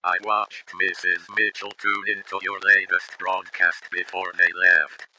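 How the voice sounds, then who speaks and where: A man acts out a menacing, mysterious being from a distant time in an analog horror story. The delivery is slow and deliberate, calm yet ominous. The voice is very sharp and forceful, over faint noise. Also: low voice, exaggerated voice.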